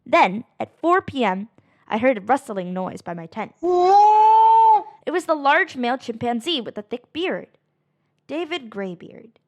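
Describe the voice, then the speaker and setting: A woman reading a children's picture book aloud in an animated, expressive way, drawing out phrases for dramatic emphasis. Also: high-pitched, measured pace, pauses often, no echo, lo-fi sound. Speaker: read-aloud narrator of children's books.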